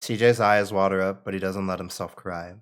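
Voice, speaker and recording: A man's voice: low-pitched, steady tone, fairly quick, clean tone. A man reading out the stage directions and narration at a screenplay table read.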